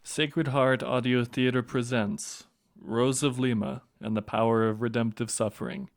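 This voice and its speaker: A man narrating an audio drama from a script in a measured storytelling manner. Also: medium pitch, flat delivery.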